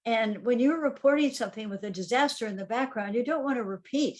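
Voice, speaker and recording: An older woman, a guest author, recalling her life in a reflective way, with frequent ums and soft laughs. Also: a little high, fast. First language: English. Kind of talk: conversational interview storytelling.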